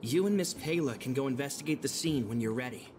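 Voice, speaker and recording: A man's voice: medium pitch, animated, fast talker, close to an everyday voice. A man voicing a dutiful, courteous guard in a video game, speaking formally. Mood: formal, helpful. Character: dutiful male guard reporting to investigators. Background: game music.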